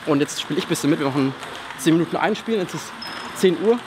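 A young man with a fairly high voice explains drills to players in a big sports hall. He is recorded from some way off, so the voice rings with reverb over loud background noise. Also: animated, quick pace. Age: young adult. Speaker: table tennis trainer and channel host. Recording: roomy hall audio from a distance.

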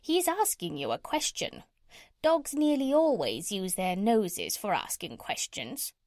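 Storybook parrot, played by a woman: female, proud, emphatic know-it-all, animated intonation, close dry sound. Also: mid-range voice, unhurried, no room sound. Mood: eager, instructive. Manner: emphatic, instructive. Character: bossy, proud female pet parrot, clever and insistent. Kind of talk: children's storybook character reading.

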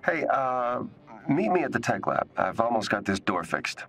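A man playing a hesitant, kindly scientist hero in a video game, halting and low-key over a comms radio. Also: very animated, a crowd in the background. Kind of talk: game cutscene acting.

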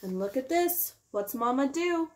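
A woman's voice: high voice, animated, a little mellow. A young woman reading a picture book aloud to children expressively, drawing out key words and explaining it in simple terms. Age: young adult. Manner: slow, deliberate, drawn-out key words. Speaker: teacher reading a children's storytime.

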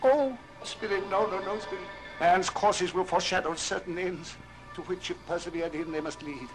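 An actor playing a frightened old miser in an old radio drama, theatrical and imploring. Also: male voice, sits high, normal speed, poor audio. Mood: desperate pleading. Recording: old record with music underneath.

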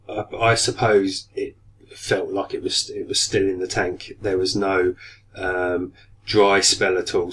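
A man in his thirties with a cold coming on, casually reviewing an aquarium product, hesitant and full of ums. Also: on the low side. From United Kingdom. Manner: hesitant, many ums and restarts. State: getting a cold, voice going slightly. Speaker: aquarium hobby vlogger. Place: small room indoors at a desk. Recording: distant mic, faint room noise.

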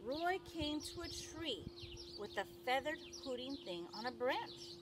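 A middle-aged woman reads a children's story in a high, very lively voice at an unhurried pace. She is expressive and speaks simply for young listeners. She is recorded outdoors in a garden. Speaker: teacher reading aloud to children. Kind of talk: children's picture book read-aloud. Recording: outdoor recording with clear birdsong noise.

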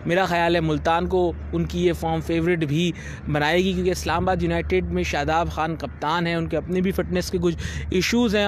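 A man's voice: on the high side, a little hiss or hum. A young man working as a sports analyst, giving fluent, assured cricket analysis into a handheld mic outdoors by a stadium. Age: young adult. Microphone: handheld reporter mic.

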